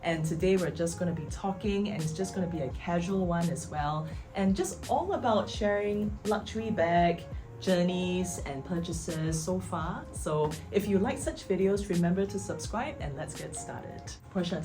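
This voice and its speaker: A woman's voice: lowish voice, expressive, normal speed, music in the background. A young woman with a Singaporean English accent hosting a casual chat, encouraging and chatty. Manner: warm, encouraging, chatty with fillers. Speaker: host.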